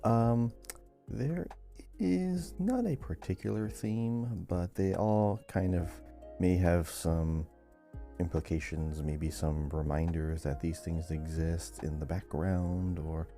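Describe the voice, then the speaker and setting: Man, low-pitched, very soft dark tone, measured podcast quiz host, light music underneath. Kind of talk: casual video game lore quiz podcast.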